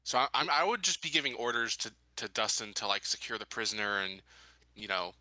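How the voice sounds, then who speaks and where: A man's voice: fairly low pitch, animated, fairly quick, a loud crowd, low-quality recording. A man talking casually as a player in a tabletop roleplaying game.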